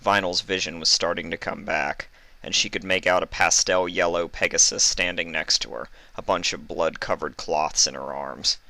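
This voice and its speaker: A man's voice: deep voice, steady tone, normal speed, vocal fry, pushed and bright, no echo. A man narrating a written fan story as its reader.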